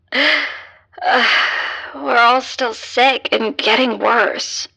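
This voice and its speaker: A woman's voice: a little high, very slow, vocal fry, pushed and bright, husky, heavily acted, rough recording. An actress playing a sick, worn-out young American woman worried about her family in an audio drama. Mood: weary, worried. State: sick, coughing, exhausted.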